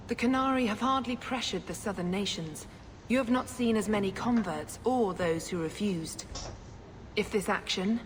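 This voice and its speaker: A woman playing a stern, zealous religious sister in a fantasy video game, speaking in a formal, measured, self-righteous way. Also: mid-range voice, slight background noise, close to an everyday voice. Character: stern, zealous Chantry sister with a covert scheme.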